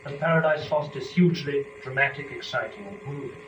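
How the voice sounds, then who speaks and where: A man speaking in a formal, deliberate, articulate way, as a performer presenting poetry to listeners. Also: medium pitch, a bit bright. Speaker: performer and lecturer. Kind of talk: dramatic poetry reading and introductory lecture. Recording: echoey room, distant mic, dull, noisy.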